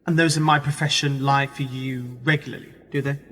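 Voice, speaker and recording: A man's voice: medium pitch, level tone, a little fast, a bit bright, faint music behind, natural voice. A man in a radio play acting a disgraced newspaper journalist, wry and sceptical, with a dry, probing manner and wounded pride. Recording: reverberant hall-like echo.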